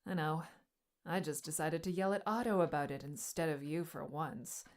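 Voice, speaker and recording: A woman's voice: deep voice, normal speed, lightly acted. A woman playing an adventurer's teasing, affectionate partner in an audiobook, with a playful and tender way of talking. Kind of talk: audiobook character dialogue. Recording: music under the voice.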